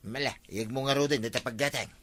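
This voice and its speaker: A man's voice: medium pitch, fairly even intonation, fast, a little hiss or hum, close to an everyday voice. A man with an Ilocano accent playing a nagging, fed-up village husband in a comedy drama. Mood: grumbling. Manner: scolding, forceful.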